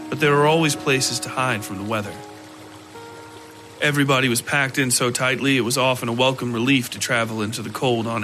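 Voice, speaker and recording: A man narrating dark literary fiction from a script in a measured, grave storytelling manner. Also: mid-range voice.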